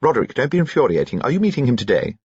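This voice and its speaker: A man's voice: mid-range voice, big pitch swings, a little mellow, slightly rough, dry recording, dull audio, pitched above the performer's own voice. A man voicing a spirited, teasing elderly society mother who talks emphatically. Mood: playful. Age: older. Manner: brisk, emphatic, playful.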